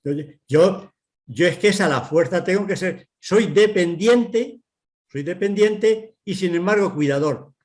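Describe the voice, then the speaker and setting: A man with a Castilian Spanish accent giving spontaneous testimony, repeating himself in a halting, insistent way. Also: high-pitched, animated, darkish tone. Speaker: dependent person and carer.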